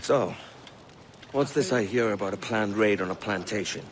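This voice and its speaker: An actor playing a cocky, ambitious young pirate with a wry, colloquial swagger in a video game. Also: male voice, on the low side, moderate pace, a little hiss or hum, natural voice. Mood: cocky, scheming. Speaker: pirate captain. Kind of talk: naturalistic video game acting.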